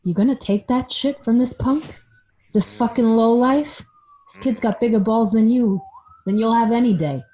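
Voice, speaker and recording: A woman's voice: on the high side, normal speed, dark tone, lo-fi sound, lightly acted. A woman playing an amused, needling mobster in a radio drama, goading and profane. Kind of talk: amateur radio drama acting.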